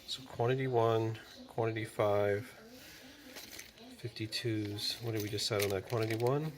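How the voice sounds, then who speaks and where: A man's voice: fairly low pitch, steady tone, normal speed. A man hosting a casual DIY walkthrough, thinking aloud and tallying parts as he goes. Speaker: DIY video host. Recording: noisy background.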